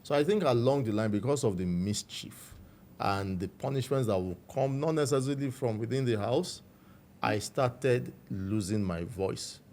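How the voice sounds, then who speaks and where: A man with a Nigerian accent, a guest recounting his life story in a measured, reflective way. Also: mid-range voice. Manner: measured, warm storytelling with frequent "you know".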